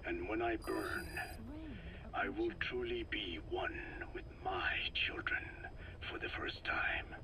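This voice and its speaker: An actor voicing a grave, wise old man in a video game, speaking in a hushed, breathy whisper with solemn, deliberate phrasing. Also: on the low side, dull audio. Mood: solemn, probing. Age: older. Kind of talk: scripted video game dialogue.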